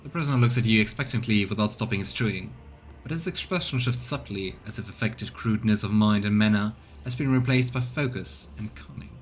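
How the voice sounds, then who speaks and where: A man's voice: on the low side, a little fast. A man doing a casual game stream, reading narration aloud and musing between lines. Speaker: let's play streamer. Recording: noticeable background noise.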